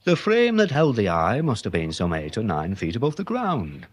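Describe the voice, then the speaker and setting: A deferential English police sergeant with a deadpan wit. His pitch moves around a lot as he explains things pedantically, in British radio-play style. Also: moderate pace. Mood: deadpan, probing. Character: polite, dry-witted police sergeant, quietly exasperated. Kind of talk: British radio comedy-mystery drama acting.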